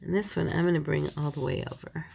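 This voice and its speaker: A woman with a slight cold talks her way through a paper-folding project, chatty and quick to poke fun at her own mistakes. Her voice sits low with a gravelly edge, and a faint hiss runs behind her. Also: a little mellow. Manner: chatty, self-deprecating, talks while working. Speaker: paper crafter. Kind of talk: casual crafting tutorial.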